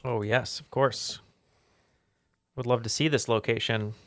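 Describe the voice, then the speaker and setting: A man's voice: medium pitch, steady tone, measured pace, a bit bright, no echo. A man playing trivia in a casual chat, thinking aloud and laughing with his team. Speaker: trivia contestant.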